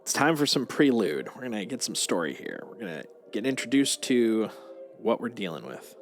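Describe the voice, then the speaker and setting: A man's voice: fairly low pitch, sing-song, moderate pace. A man livestreaming a video game, chatting casually as he plays. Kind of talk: casual chat while playing. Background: game music.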